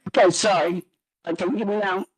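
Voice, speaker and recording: A hoarse middle-aged councilwoman speaks up at a zoning hearing, her voice husky and breathy. She rambles earnestly, piling on fillers as she backs the project, and the sound is close and dry with no room around it. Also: mid-range voice, fairly even intonation, fairly quick, dry recording. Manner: earnest, rambling support speech with many fillers. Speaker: city councilwoman. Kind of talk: public meeting testimony.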